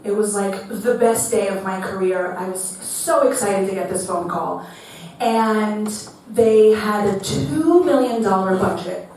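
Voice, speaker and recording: A woman's voice: mid-range voice, darkish tone, a little hiss or hum. A woman in her forties from New York, who grew up speaking Hebrew, telling a comic, self-mocking personal story on stage before an audience. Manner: chatty, self-mocking, salty humor. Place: event venue with audience. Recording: echoey hall, picked up from a distance.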